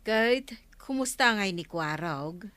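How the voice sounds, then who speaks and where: A woman's voice: mid-range voice, animated, unhurried, a bit bright, no room sound. An actress with an Ilocano accent playing a kindly, helpful village councilwoman in a comedy drama. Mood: friendly.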